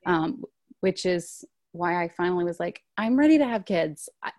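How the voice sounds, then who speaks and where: A woman in her thirties speaks as a guest in a relaxed conversation. Her voice sits on the low side, close to the mic with almost no room sound, and she talks thoughtfully, often pausing on "like" and "um.". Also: no echo. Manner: thoughtful, frequent "like" and "um" fillers. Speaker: guest, stage actor. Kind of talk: casual conversational interview.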